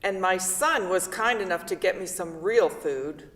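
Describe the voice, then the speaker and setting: A woman's voice: on the low side, expressive, a little slow, a bit bright. A woman playing a stubborn, blunt, dying mother in a staged play reading. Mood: angry, defiant. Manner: blunt, demanding. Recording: distant, echoey hall, background noise.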